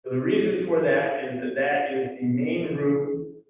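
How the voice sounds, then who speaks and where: A man's voice: mid-range voice, unhurried. Man in an echoey church hall. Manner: conversational, with fillers and asides. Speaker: church leader giving announcements. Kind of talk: congregational announcements. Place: large church sanctuary. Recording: echoey hall, distant dull mic.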